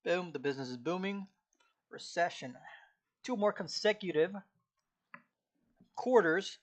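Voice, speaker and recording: A man with a slightly high voice talks to himself at an easy pace as he studies live on stream. He keeps clearing his throat because it feels irritated, and his delivery stays laid-back as he recites notes from memory. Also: lively intonation, a little slow. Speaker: streamer studying a textbook. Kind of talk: casual live-stream self-talk while studying.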